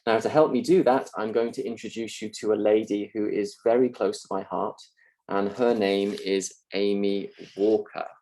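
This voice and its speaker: A man, a university linguistics lecturer, explaining ideas in a measured, calm lecture style. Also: on the low side, poor audio. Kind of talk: scripted mock lecture.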